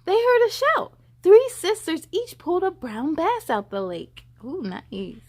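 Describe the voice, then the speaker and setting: Animated, high-pitched woman reading to children. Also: measured pace. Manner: animated, dramatic, addressing children directly. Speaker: children's story time host. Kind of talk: expressive storybook read-aloud and chat to young viewers.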